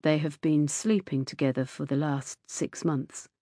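A woman voicing a calm, serious, quiet-spoken man in an audiobook story. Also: sits low, slow, husky, no room sound, studio quality, pitched below the performer's own voice, exaggerated voice.

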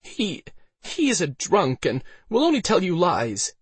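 A man's voice: high-pitched, wildly swooping pitch, measured pace, breathy edge, dry recording, voice pushed up, exaggerated voice. A man voicing a defensive, pleading woman innkeeper in an audiobook, with an insistent, strained delivery. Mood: anxious, placating.

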